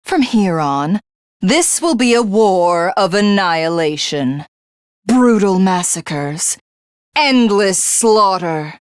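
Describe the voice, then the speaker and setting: A haughty, slow-speaking tyrant queen. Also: mid-range voice, animated, clear, resonant tone, no room sound, clean studio sound. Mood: smug, menacing. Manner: haughty, theatrical, contemptuous. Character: megalomaniacal female alien tyrant craving godhood. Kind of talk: video game villain voice acting.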